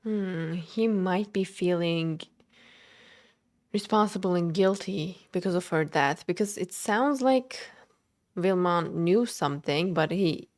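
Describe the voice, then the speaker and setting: A woman's voice: lowish voice, slow, darkish tone. A woman streaming a game, giving casual live reactions and musing aloud in short interjections. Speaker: gaming streamer.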